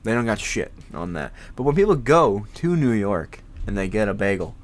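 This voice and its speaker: A man's voice: on the low side, very animated, normal speed. A young man with a congested voice, rambling casually as a podcast host, swearing freely and laughing often.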